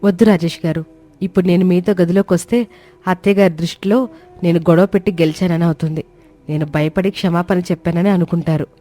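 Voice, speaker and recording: A woman playing a patient young daughter-in-law in an animated moral story, polite, measured and quietly firm. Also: on the low side, natural voice. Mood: hurt, resolute.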